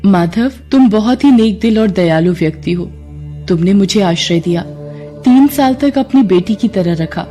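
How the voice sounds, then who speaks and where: A woman's voice: mid-range voice, animated, moderate pace, mellow, faint music behind, lightly acted. A woman voicing a serene, kindly goddess who speaks formally in a devotional storybook tale. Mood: gracious, solemn. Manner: formal, solemn, kindly pronouncements. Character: benevolent goddess, serene and gracious, granting blessings.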